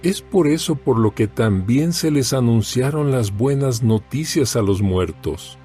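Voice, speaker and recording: A man reads scripture in a solemn, deliberate way, taking his time over each phrase. His pitch moves widely, giving the dramatized narration an animated contour. Faint music plays behind him. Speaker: Bible audio narrator. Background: background music.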